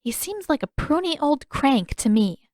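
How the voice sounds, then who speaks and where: Woman playing spirited teenage heroine, stubborn, sarcastic, raised pitch, audiobook dialogue, dry recording. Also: big pitch swings, a little slow, darkish tone, no room sound. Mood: annoyed.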